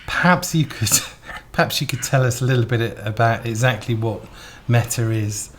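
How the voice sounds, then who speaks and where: A man's voice: medium pitch, normal speed, a bit bright, a little hiss or hum. A man hosting an interview, putting questions in a conversational way. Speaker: interviewer, host.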